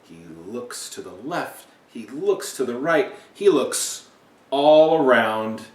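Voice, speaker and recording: A man reading a children's picture book aloud with asides, talking directly to young listeners in an animated way. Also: mid-range voice, takes it slowly. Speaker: read-aloud storybook reader. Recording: echoey room, distant mic.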